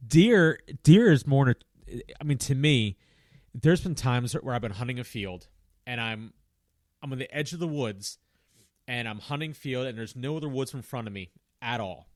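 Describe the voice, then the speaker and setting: Man, late 30s, podcast host, rambling and relaxed, medium pitch, dry close sound. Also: normal speed, dry recording. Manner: rambling, easygoing, laughs often. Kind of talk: casual chat.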